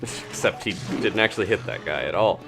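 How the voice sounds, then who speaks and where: A man's voice: lowish voice, fairly quick, over music. A man giving casual live gameplay commentary as a streamer, thinking aloud as he plays. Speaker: game streamer, host.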